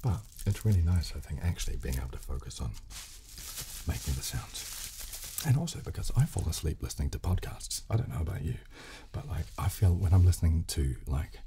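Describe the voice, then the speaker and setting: British male ASMR host, extremely low hushed voice, gentle explainer, crackly plastic noise on mic. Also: animated, fairly quick. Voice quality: soft, hushed. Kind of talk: soft-spoken ASMR chat. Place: small decorated room, indoors. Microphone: close studio condenser microphone on table.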